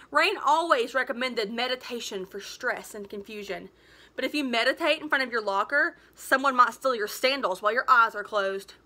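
A woman in her thirties reading a story aloud like a teacher, with emphatic, expressive delivery. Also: fairly high pitch. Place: living room at home, indoors.

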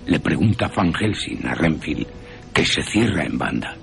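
Deep, slow, suspenseful male storyteller. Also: sing-song, vocal fry, a little hiss or hum. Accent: Castilian Spanish. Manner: theatrical, suspenseful. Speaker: narrator. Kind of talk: dramatic radio horror storytelling.

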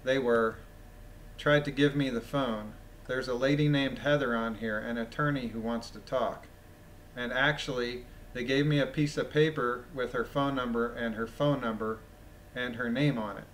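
A man with a phlegmy voice reading a document aloud with casual, incredulous, mocking commentary, often clearing his throat. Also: mid-range voice, steady tone, moderate pace, fairly bright tone, slight background noise, low-quality recording. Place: voice-over of a screen recording.

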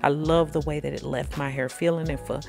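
A woman's voice: low voice, steady tone, fairly quick, creaky, darkish tone, soft background music. A woman, chatting to viewers in voice-over about her self-care routine. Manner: chatty, addresses viewers as y'all. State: pregnant. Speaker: beauty vlogger.

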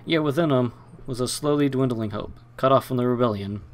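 A man's voice: medium pitch, brisk. A man hosting a let's play, reading game narration aloud and commenting casually.

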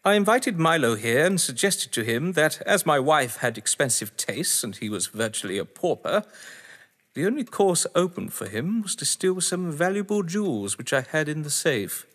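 Man acting haughty, animated husband in echoey room. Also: on the high side, normal speed. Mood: smug. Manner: verbose, sardonic, theatrical. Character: witty, haughty husband questioned by police. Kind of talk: audio drama acting. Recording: echoey hall-like reverb.

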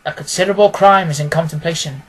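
A man performs a keen male detective from a storybook. He speaks with clipped, decisive emphasis and total confidence, in a husky voice that commands the listener. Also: on the high side, fast. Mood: urgent, decisive. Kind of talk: audiobook character dialogue.

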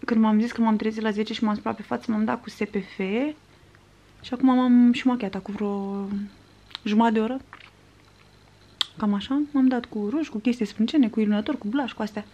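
A woman's voice: a little high, steady tone, mellow, dull audio. A woman in her twenties from Romania, a vlogger chatting to camera in a casual, rambling, conversational way. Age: 20s. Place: small furnished room at home, indoors.

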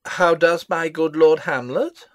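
A man's voice: fairly high pitch, unhurried, fairly bright tone, no room sound, clean studio sound. A man playing a meddling, pompous old royal counsellor in a Shakespearean dramatic reading, deferential and ponderous.

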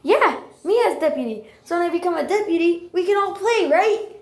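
A woman voicing an earnest, eager young apprentice cat in a childlike, pleading way, as for animated cartoon lines. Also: extremely high pitch, fairly quick, reverberant. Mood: eager, bluffing. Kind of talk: character voice lines for a fan animation.

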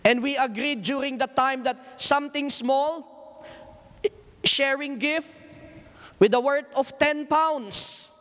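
A man's voice: very high voice, slow, pauses often, a bit bright. A middle-aged Filipino man preaching a sermon, often stopping to ask his congregation "Amen?". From Philippines. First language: Tagalog. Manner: repeats call-and-response "Amen?" to the congregation. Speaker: pastor. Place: church hall. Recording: long church hall reverb.

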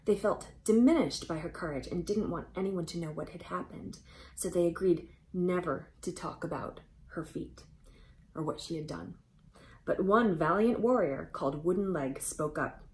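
A woman in her thirties reading a storybook aloud to children, expressive and emphatic, addressing them directly. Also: lowish voice, moderate pace, rough recording. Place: indoors, small room, close to camera.

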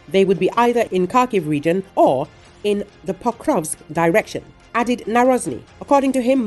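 A woman reading a scripted news report in a measured, even voice, relaying quotes from others. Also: mid-range voice. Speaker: news narrator. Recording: voice-over with faint music underneath.

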